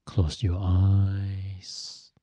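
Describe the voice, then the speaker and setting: Man, soft dark husky voice, guiding meditation super slowly like a monk teacher. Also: low-pitched, completely flat delivery, dry recording. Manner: gentle, instructive, phrase by phrase with long pauses. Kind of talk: guided meditation.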